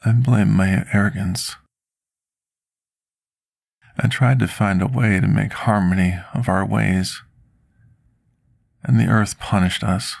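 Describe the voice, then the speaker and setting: A man playing a scarred, remorseful potion master in an intimate ASMR roleplay, speaking formally and deliberately like someone confessing his past. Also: fairly low pitch, steady tone, gravelly, a little mellow, no room sound, clean studio sound, exaggerated voice. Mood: sorrowful, confessional. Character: scarred male potion master, devoted, remorseful, soft-spoken servant.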